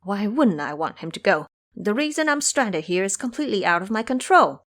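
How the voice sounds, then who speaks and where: A woman's voice: medium pitch, very animated, fairly quick, fairly bright tone. A woman voicing a proud, sorrowful captive princess in an audio drama, speaking in an earnest, formal and dramatic way. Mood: doubtful.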